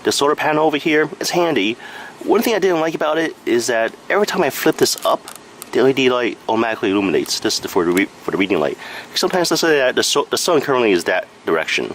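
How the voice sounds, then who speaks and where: A man's voice: medium pitch, big pitch swings, fast, a bit bright, a little hiss or hum. A man giving a casual hands-on product review in a matter-of-fact, explanatory way.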